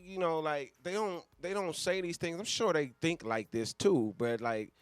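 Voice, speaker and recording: An American man co-hosting a radio show with casual, profane, slangy banter and an animated street manner. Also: fairly high pitch, moderate pace, clean tone, dry recording. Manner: animated street-slang talk, often asks "you know what I'm saying".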